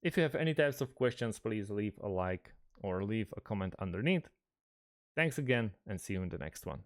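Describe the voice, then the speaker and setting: A man presenting a step-by-step screen tutorial, speaking haltingly with pauses mid-phrase. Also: on the low side, lively intonation.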